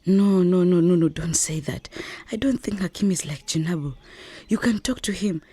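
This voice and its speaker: A woman's voice: on the low side, normal speed, dark tone, no echo. A woman playing an injured but determined young wife and market trader in a radio drama, speaking wearily and earnestly. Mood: gentle, reassuring. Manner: weary, earnest, breathy delivery.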